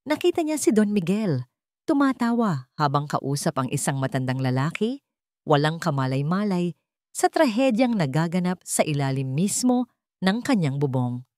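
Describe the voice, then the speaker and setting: A woman narrator with a fairly low voice reads a dramatic story. The recording is dry and close, with very little room sound. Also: very animated, moderate pace, darkish tone, dry recording.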